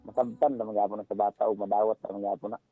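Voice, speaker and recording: A man with a Cebuano accent calling in to a radio show, giving casual advice in an informal, halting way. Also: lowish voice, level tone, creaky, dry recording. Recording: tinny phone line, music underneath. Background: background music.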